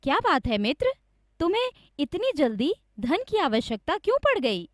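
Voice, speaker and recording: A woman voicing an honest, trusting village man with an earnest, expressive manner in a cartoon story. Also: high-pitched, normal speed, dry recording, clean studio sound. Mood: curious, trusting.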